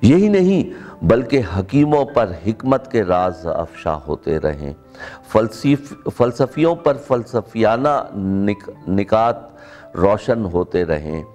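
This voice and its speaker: Slow, low-voiced middle-aged Pakistani man. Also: darkish tone. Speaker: presenter. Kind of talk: introduction and formal recitation of a written speech.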